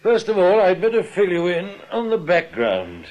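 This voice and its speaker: A man playing a smooth, domineering English bank manager in a radio drama, with a condescending, clubby manner. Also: high voice, huge pitch swings, moderate pace, darkish tone, lo-fi sound. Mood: smoothly placating. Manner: condescending, old-school clubby address. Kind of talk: British radio drama acting.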